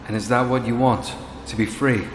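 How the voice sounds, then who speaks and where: An earnest, direct fantasy game hero, voiced by a man, pressing and plain-spoken. His medium-low voice echoes in a long hall, with noise clearly behind it. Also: fairly even intonation, normal speed, lightly acted. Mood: insistent. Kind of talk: dramatic video game acting.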